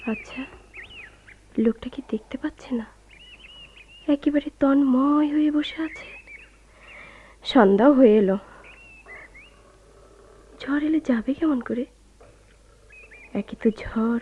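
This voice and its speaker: An actress playing a kind-hearted, hesitant woman in a Bengali radio drama, fretful and musing. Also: high voice. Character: concerned, hesitant, kind-hearted woman talking to herself and a stranger. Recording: dull lo-fi radio recording, clear noise.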